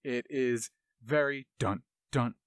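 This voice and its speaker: Male video essayist, medium pitch, casual analysis, emphatic colloquial delivery, close dry recording. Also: slow, clear, resonant tone, dry recording. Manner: dramatic emphasis, colloquial asides.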